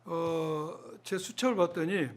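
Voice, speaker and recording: A man's voice: a little high, unhurried. A middle-aged man, a lawmaker guest speaking plainly and repeating his points for emphasis. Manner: plain, repetitive, emphatic, jokes a little. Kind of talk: talk show interview.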